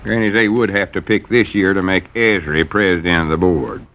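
A low-voiced, bashful old country storekeeper in a vintage radio comedy, with a drawl that is folksy and easily flustered. The sound is dull and muffled, like an old radio broadcast. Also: male voice, fairly even intonation, moderate pace, a little hiss or hum. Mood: grumbling. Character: fussy, bashful old rural male storekeeper. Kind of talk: 1930s-50s radio comedy acting.

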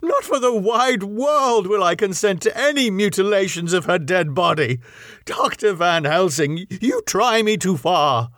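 A passionate young English lord, acted by a male narrator in a gothic storybook reading. His voice rides high and strained with anguish and outrage, recorded dry and close. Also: fairly bright tone, no room sound, full character voice.

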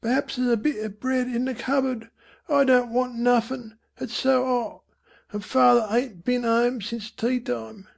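Woman reader doing a tired whiny mum, voice pushed up high, faltering and doleful, storybook. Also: fairly even intonation, a little slow, gravelly, a little mellow, exaggerated voice. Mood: weary, doleful. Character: weary, listless slum mother, feebly clinging to respectability. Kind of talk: audiobook character voice.

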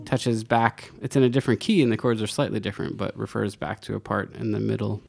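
Low gravelly reflective man. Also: steady tone, fast, faint music behind. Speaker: singer-songwriter and guitarist. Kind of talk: casual interview about his song.

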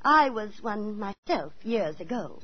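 A woman playing a fretful, kindly, middle-aged landlady and former actress in a 1940s radio drama, theatrical and expressive. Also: mid-range voice, a little slow, breathy edge. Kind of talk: 1940s radio acting and first-person narration. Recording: muffled 1940s radio recording with music.

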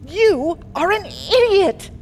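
A haughty, volatile queen, as a woman acts her out, speaks slowly and sweeps her high voice up and down. Her tone turns quickly from scorn to rage. There is steady noise behind her. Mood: imperious. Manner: haughty, scornful, explosive outbursts. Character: imperious, cruel, volatile female queen.

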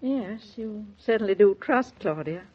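An actress playing a wry, fond middle-aged mother-in-law in a 1940s radio drama, with a dry, gently amused delivery. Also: mid-range voice, lively intonation, a little slow, slightly rough. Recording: muffled 1940s radio recording.

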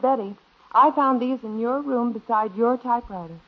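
A woman's voice: a little high, moderate pace, phone-quality sound, natural voice. An American actress playing a sensible, disapproving middle-aged wife and mother in a 1950s radio comedy, on an old broadcast recording. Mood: reproachful.

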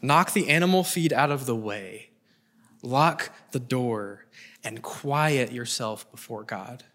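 A middle-aged man preaching a prepared sermon, earnest and emphatic, with deliberate pauses and repeated phrases for weight. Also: mid-range voice, animated, sharp tone.

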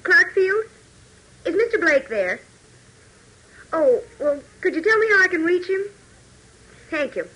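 A woman's voice: very high-pitched, very animated, a little slow, long pauses, bright, forceful tone, full character voice. An actress playing an efficient, polite young woman in an airport office in a 1940s radio serial, clipped and businesslike. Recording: tinny, noisy old 1940s radio transcription.

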